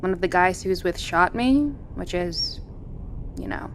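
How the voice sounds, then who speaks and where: A woman's voice: moderate pace, lightly acted. An actress playing a wounded, wary and stubborn female survivor in a naturalistic audio drama. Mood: strained, wry. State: wounded, sighing. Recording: faint low rumbling background noise.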